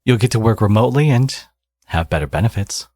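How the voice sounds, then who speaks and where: Man playing a low, husky, teasing villain. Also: lively intonation, fast, a little mellow, no echo. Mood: smug, coaxing. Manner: sardonic, teasing, casual. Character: teasing male villain, smug new father, secretly caring. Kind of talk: ASMR audio roleplay.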